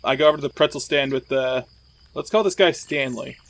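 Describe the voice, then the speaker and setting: A man's voice: mid-range voice, a little fast. A man playing a tabletop game, improvising casually in character in an offhand, conversational way.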